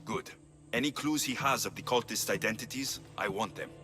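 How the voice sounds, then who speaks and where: A man's voice: mid-range voice, normal speed, music in the background, close to an everyday voice. Blunt, wry Greek mercenary hero, played by a man. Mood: businesslike. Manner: dry, blunt, self-assured. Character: tough, wry male mercenary hero of ancient Greece. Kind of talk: dramatic video game acting.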